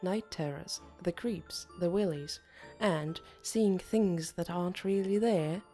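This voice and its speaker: A woman narrating an audiobook as a pensive, uneasy teenage boy, in a measured, introspective way. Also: on the low side, mellow, faint music behind. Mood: pensive, resolute.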